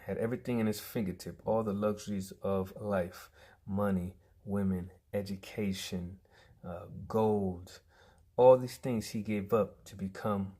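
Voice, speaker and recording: A man giving an earnest prepared tribute speech, emphatic, with pauses and restarts. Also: lowish voice, warm tone.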